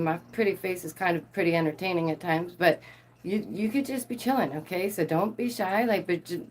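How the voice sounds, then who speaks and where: A woman with a lower voice hosting her channel, chatting casually to the camera, bubbly and laughing often. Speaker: channel host.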